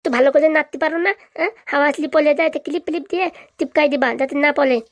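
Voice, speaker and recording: A woman voicing a stern mother in a cartoon, with a brisk, scolding manner. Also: sits high. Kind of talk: cartoon voice acting.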